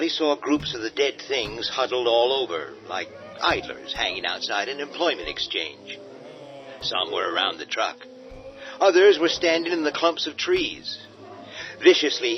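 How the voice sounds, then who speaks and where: A man narrating a horror radio drama in a steady, dramatic storytelling manner. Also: fairly low pitch. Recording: dull lo-fi with music beneath.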